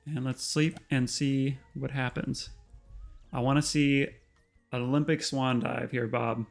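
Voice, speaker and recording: A man hosting a casual tutorial, chatty and full of ums, his voice slightly hoarse. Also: mid-range voice, little pitch movement, slow pace, soft background music. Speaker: host. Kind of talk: casual screen-recorded tutorial narration.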